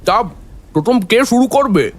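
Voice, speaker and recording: A man's voice: high voice, animated, a little slow. An actor playing an eager young man hosting a storytelling get-together in an audio drama. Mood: eager, playful.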